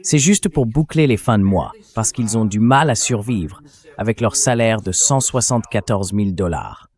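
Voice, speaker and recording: A man's voice: lowish voice, animated, moderate pace, darkish tone. A man's AI dubbing voice delivering blunt, indignant, sarcastic political commentary. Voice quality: synthetic AI dubbing voice.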